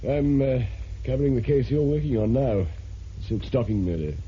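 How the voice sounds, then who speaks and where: Male actor as urbane reporter, wry, very warm dark tone, muffled vintage radio. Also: medium pitch, expressive, normal speed, slight background noise, close to an everyday voice. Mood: wry, chatty. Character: urbane, wry crime reporter narrating his case. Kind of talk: vintage radio drama acting and narration.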